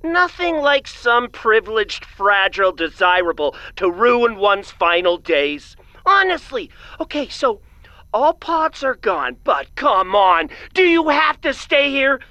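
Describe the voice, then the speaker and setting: Scornful cranky female neighbor, highish voice, sharp and forceful, sarcastic, sci-fi audio drama. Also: big pitch swings, slow, dry recording, dull audio, heavily acted. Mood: scornful, irate.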